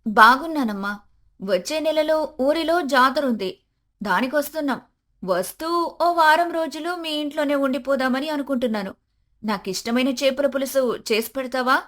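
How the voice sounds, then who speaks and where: Woman acting married daughter, high voice, fond, familiar, affectionate, storybook family chat. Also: animated, moderate pace, a bit bright. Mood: cheerful. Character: affectionate married daughter phoning her mother.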